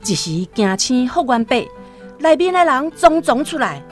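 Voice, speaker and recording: A Taiwanese woman narrating a literary radio drama in a storytelling style, over soft music. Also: high voice, big pitch swings, normal speed.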